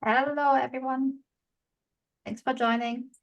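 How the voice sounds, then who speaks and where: A woman's voice: high-pitched, fairly even intonation, a little slow. A woman from Germany, moderating an online webinar and giving informal housekeeping instructions.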